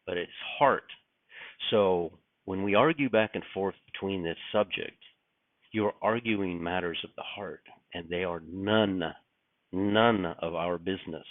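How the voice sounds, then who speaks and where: Raspy just-woken man, low voice. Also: measured pace, dry recording. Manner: rambling, musing, frequent restarts and fillers. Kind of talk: casual spoken reflection. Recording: dry, tinny phone-like sound.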